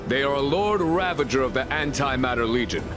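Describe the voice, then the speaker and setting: A man playing a composed veteran general in a game cutscene, speaking in a measured, formal and authoritative way. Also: medium pitch, expressive, music in the background, close to an everyday voice. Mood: commanding. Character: composed veteran male general commanding knights. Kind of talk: video game cutscene acting.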